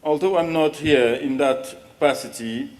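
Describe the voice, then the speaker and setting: A middle-aged man from West Africa giving a measured, formal speech from notes at a lectern. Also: fairly high pitch, a little mellow. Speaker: speaker at a parliament event. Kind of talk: formal prepared speech, bilingual. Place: large hall with long reverb. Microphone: lectern mic. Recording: long hall reverb.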